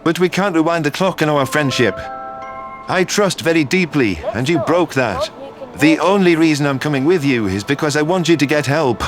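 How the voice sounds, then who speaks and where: A man's voice: a little high, expressive, normal speed, music in the background, close to an everyday voice. An actor playing a concerned, loyal human man in earnest, emphatic dialogue from a sci-fi audio drama. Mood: hurt, firm.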